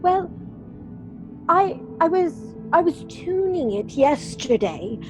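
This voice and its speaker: An actress playing a sweet, demure young widow and bride in old-time radio melodrama style. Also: female voice, extremely high pitch, very animated, takes it slowly, over music, full character voice, rough recording. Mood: coy, apologetic.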